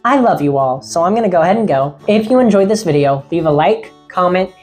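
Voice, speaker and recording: A woman casually vlogging about seasonal witchcraft in a friendly, rambling way, her voice getting a little raspy. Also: lowish voice, lively intonation, brisk, darkish tone, soft background music. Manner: rambling, friendly, laughs often. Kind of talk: casual chatty vlog.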